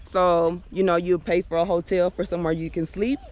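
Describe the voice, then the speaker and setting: A woman's voice: on the low side, fairly quick. A woman chatting casually while walking through an outdoor park, laughing often and saying "like" a lot. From United States. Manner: relaxed, laughs often, lots of filler "like". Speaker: travel vlogger.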